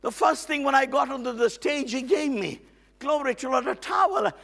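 A middle-aged man preaching a lively sermon as a guest on a church stage, loud and excitable, with frequent amens and hallelujahs. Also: very high-pitched, moderate pace, creaky. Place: church stage with band instruments.

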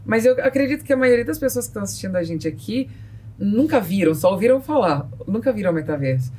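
A woman's voice: medium pitch, animated, quick pace, darkish tone, far from the mic, slight background noise. A Brazilian woman making direct, emphatic points in a casual panel discussion. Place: small padded podcast studio. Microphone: boom-arm studio microphone.

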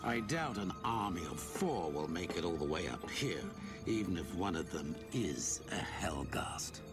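A man's voice: low voice, big pitch swings, unhurried. A man playing a cold, scornful middle-aged enemy commander in a video game, speaking with sardonic deliberation. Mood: sceptical, sardonic. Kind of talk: video game villain acting. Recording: very loud music underneath.

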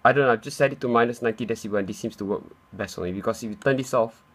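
A man's voice: mid-range voice, racing. A man giving a casual, unscripted tech demonstration, explaining step by step in a slightly hesitant way. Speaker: tutorial presenter. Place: indoors in a room at home. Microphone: handheld camera's built-in mic.

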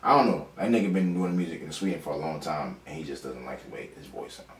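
A young man with a raspy, nasal voice, rambling casually as he gives a rapper feedback in a small home studio. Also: extremely low pitch, quick pace. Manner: rambling, animated explaining with mock imitations. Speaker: producer giving feedback. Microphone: far camera. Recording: echoey room from far off.

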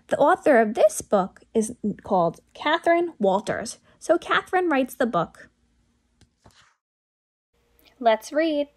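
A young woman teacher reading aloud and explaining things expressively to young children. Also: fairly high pitch. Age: young adult. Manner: expressive, addressing small children with questions. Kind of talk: story read-aloud and lesson for young children.

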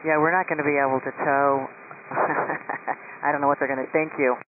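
Man, airline pilot, highish pitch, casual polite exchange, narrowband aircraft radio. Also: flat delivery, normal speed. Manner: informal, polite. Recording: noisy narrowband cockpit radio transmission.

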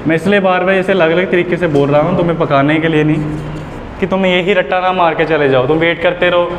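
A man's voice: fairly high pitch, steady tone, fast. A young man teaching an English lesson in a classroom, explaining patiently, mixing Hindi with English phrases, picked up by a camera across the room. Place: classroom with whiteboard. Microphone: far camera. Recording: echoey classroom, room noise.

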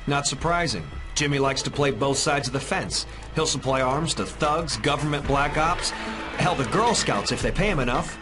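An actor playing a level-headed, wry costumed crime fighter in a video game, speaking in a measured, conversational way. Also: male voice, mid-range voice, natural voice. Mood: stern. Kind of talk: video game cutscene acting. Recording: loud music behind the voice.

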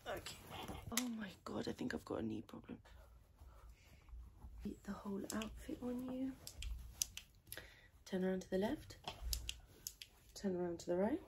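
A woman softly improvising an ASMR personal attention role play as a tailor, chatting in a rambling, chaotic way close to the microphone. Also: mid-range voice, measured pace, gravelly, long pauses, background noise. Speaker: ASMR creator playing a tailor.

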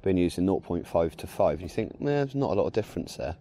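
Male angler, low warm voice, easygoing talk to camera outdoors. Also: fast talker, slight background noise. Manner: relaxed, chatty, explains his tackle. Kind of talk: casual fishing commentary. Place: canal bank outdoors.

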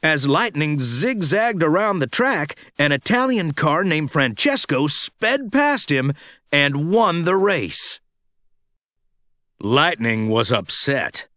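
A man reads a children's storybook aloud with expressive, dramatic phrasing. His fairly high voice rises and falls in lively swings, and he takes his time over each sentence so young listeners can follow along. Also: slow, dry recording, clean studio sound. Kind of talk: scripted read-along storytelling.

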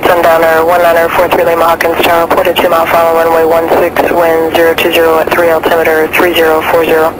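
A man's voice: fairly high pitch, completely flat delivery, quick pace, hardly any pauses. A man working as a tower controller, giving clipped, formulaic instructions over aviation radio. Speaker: air traffic tower controller. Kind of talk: air traffic radio instructions. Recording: aviation radio with engine noise.

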